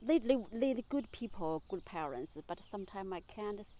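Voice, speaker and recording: A woman from China, a young mother speaking slowly and searching for words in a documentary interview. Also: medium pitch, expressive, mellow, a little hiss or hum. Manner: halting, searching for English words. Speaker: migrant mother.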